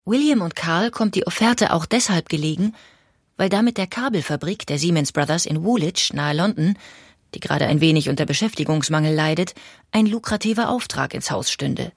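A woman giving calm, scripted documentary narration. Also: deep voice, fairly quick, slightly rough, no echo, clean studio sound.

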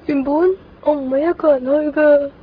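A woman's voice: high voice, little pitch movement, slow pace. A woman acting a blunt, wistful, matter-of-fact teenage schoolgirl in a Cantonese radio drama, sighing, with a Hong Kong accent. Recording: dull lo-fi radio recording.

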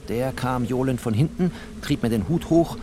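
A man reading literary prose as a narrator, with an expressive, sardonic and dramatic delivery. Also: mid-range voice, normal speed, background noise.

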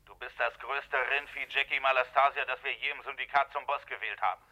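An actor playing a menacing gangster boss who speaks through a telephone filter in an old radio crime play, sneering and mocking. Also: male voice, mid-range voice, steady tone, brisk, bright, forceful tone. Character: menacing American gangster boss, middle-aged man. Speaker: gang boss on the phone.

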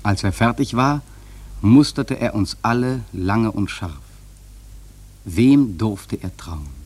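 A man acting a grave sailor who narrates a radio play in a measured, solemn literary style with dramatic swells and a husky voice. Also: lowish voice, animated, a little mellow, heavily acted. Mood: solemn, ominous. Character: grave male sailor narrating a haunted whaling voyage.

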